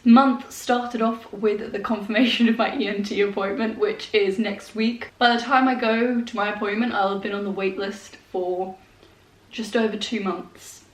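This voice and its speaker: A woman's voice: mid-range voice, fairly even intonation, moderate pace, recorded from a distance. A woman in her twenties with a congested head cold, chatting casually to the camera in a sparsely furnished room at home. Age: 20s. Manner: chatty, rambling, with fillers and small laughs. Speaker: vlogger. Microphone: handheld compact camera. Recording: roomy echo, voice a bit off-mic.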